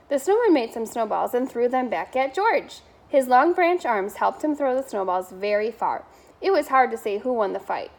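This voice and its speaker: A woman's voice: a little high, low-quality recording. A woman reading a children's bedtime story aloud as a member of school staff.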